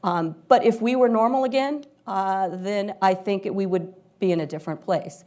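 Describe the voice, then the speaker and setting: A woman's voice: medium pitch, normal speed, mellow. A woman speaking as a panelist, giving an earnest, spontaneous answer at a live discussion. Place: live panel event before an audience.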